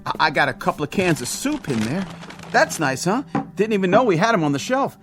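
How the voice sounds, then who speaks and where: A man's voice: sits high, very animated, brisk, over music. A man playing a frightened small-town grocery delivery man in an audio drama, stammering and nervously agreeing. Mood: anxious, friendly.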